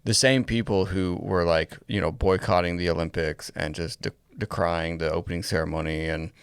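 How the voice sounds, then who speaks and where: A man's voice: deep voice, fairly even intonation, normal speed, gravelly, smooth, no echo, clean studio sound. A man speaking casually as a co-host in a conversational podcast discussion.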